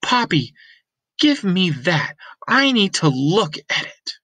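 A man's voice: sits high, big pitch swings, slow, sharp tone, breathy edge, no room sound, pitched above the performer's own voice, full character voice. A man voicing a concerned young girl with urgent questions in a graphic novel read-aloud.

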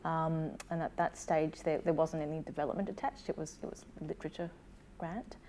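A woman's voice: deep voice, fairly even intonation, fairly quick, darkish tone, a little hiss or hum. An Australian woman in her thirties speaking thoughtfully and hesitantly as a playwright in a casual interview. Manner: hesitant, thoughtful, self-deprecating. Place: small room, seated indoors.

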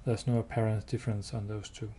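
A man giving a hands-on product demo indoors, explaining step by step in a halting, matter-of-fact way. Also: lowish voice, little pitch movement, dark tone. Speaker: product demonstrator. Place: indoors, showroom with wall-mounted devices. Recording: clear background noise.

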